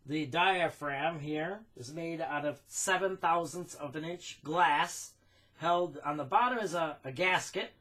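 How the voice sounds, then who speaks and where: A man with an American accent giving an informal technical explanation as a demonstrator, in a deliberate, halting way. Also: a little high, sharp tone. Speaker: phonograph recording demonstrator.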